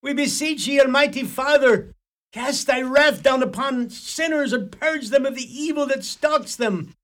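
A man's voice: very high voice, a little slow, a bit bright. Man playing pompous fire-and-brimstone Irish priest. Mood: thundering. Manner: pompous, florid, preacherly. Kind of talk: radio play acting.

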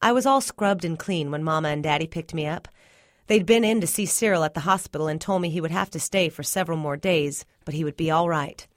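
A woman reading a short story aloud with calm, measured narration. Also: deep voice, no room sound, clean studio sound. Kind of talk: reading literary fiction aloud.

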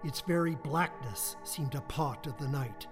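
A man narrating a radio play in a solemn, measured way. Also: on the high side, over music. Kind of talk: scripted narration.

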